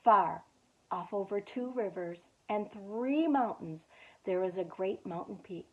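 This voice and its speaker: A woman reading the part of a wise, kind old male grandfather bunny in a storybook, speaking gently and solemnly. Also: medium pitch, close to an everyday voice. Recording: tinny phone-like audio.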